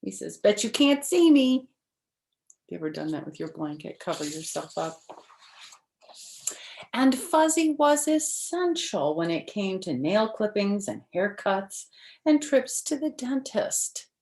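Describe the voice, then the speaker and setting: An older woman reading a picture book aloud to children, expressive and deliberate, with friendly asides to young listeners. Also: mid-range voice, gravelly. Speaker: storyteller for children. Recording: echoey room.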